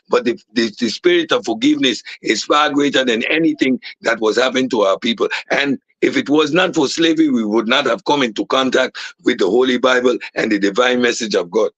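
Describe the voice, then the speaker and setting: A man's voice: medium pitch, a bit bright, no room sound, natural voice, poor audio. A man putting on the Nigerian accent of a preacher who talks in a formal, pleading sermon manner. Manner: preachy, formal, quotes scripture. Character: earnest male Nigerian preacher, solemn and pleading.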